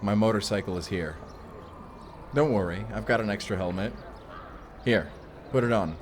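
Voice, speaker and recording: A man's voice: fairly low pitch, normal speed, very noisy, close to an everyday voice. A man playing a guarded, protective vampire slayer in an audio roleplay, speaking frankly and sternly. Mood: flustered, brisk. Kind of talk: cinematic audio roleplay addressed to the listener.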